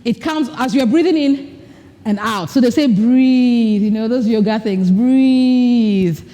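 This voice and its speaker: Woman coaching a live workshop, fairly high voice, mellow tone, long reverb in a big hall. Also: slow. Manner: direct, coaching, acts out mock dialogue. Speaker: speaker at a women's leadership retreat. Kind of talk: live workshop talk with breathing exercises. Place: large event hall with an audience. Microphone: recording mic, not amplified.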